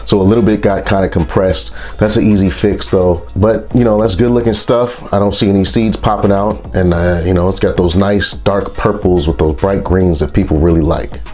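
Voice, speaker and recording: A man with a congested, stuffy voice from a sinus infection, reviewing products in a relaxed, rambling vlog. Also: sits low, normal speed, hardly any pauses. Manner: relaxed, rambling, with frequent fillers.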